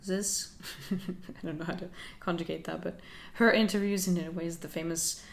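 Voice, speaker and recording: A young woman talks through her learning journey for her channel. She is soft-spoken and reflective, with a slightly low voice, and she laughs lightly as she goes. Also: fairly even intonation, moderate pace, gravelly. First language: Finnish. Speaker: channel host. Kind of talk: casual talking-head vlog.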